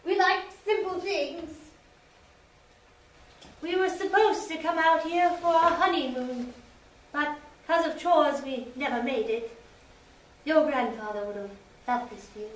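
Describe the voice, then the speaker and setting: A woman playing a frail, forgetful, sweet old farm grandmother in a comic stage play, with a high, thin voice. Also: unhurried, long pauses. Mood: wistful. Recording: echoey room, far from mic.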